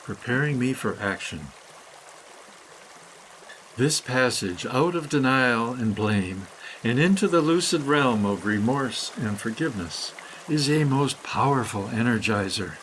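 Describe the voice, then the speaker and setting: A man's voice: mid-range voice, animated, fairly bright tone, slight background noise, rough recording. Man reading meditation over trickling water. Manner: measured, deliberate phrasing with pauses and repetition. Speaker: guided meditation reader, author. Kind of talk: scripted guided meditation, poetic spoken essay.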